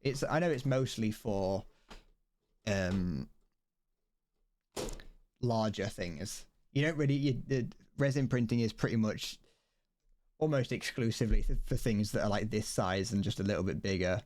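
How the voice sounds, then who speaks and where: A man's voice: lowish voice, measured pace, pauses often, darkish tone, a little hiss or hum. A young man streaming as he builds a model kit, chatting casually, rambling, correcting himself and stumbling over his words.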